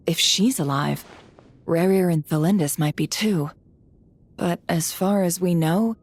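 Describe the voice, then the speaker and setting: An actress playing a calm, thoughtful and gentle young woman investigator in a video game. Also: fairly low pitch.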